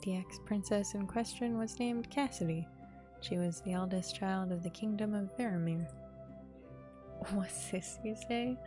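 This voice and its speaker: A woman game streamer with an informal, expressive manner, commenting casually and reading a visual novel's narration aloud. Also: mid-range voice, a little slow. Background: game music.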